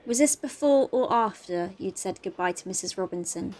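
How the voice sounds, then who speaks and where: A woman's voice: mid-range voice, animated, normal speed, a little mellow, close to an everyday voice. A woman playing a cheeky, teasing police detective in a naturalistic audio drama. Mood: gently professional. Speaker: actor in an audio play.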